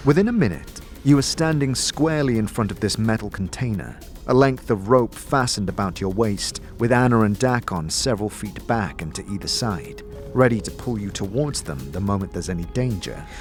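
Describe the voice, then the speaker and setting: A man narrating a scripted fantasy audio drama in a measured, deliberate, dramatic style. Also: on the low side, lively intonation, soft background music.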